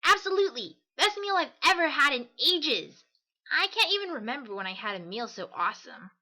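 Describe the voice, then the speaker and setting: A woman's voice: high-pitched, sing-song, a little fast, sharp tone, heavily acted. A woman voicing an upbeat, brash but caring female pegasus pony for cartoon fan fiction, with a casual, breezy manner. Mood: reassuring, enthusiastic.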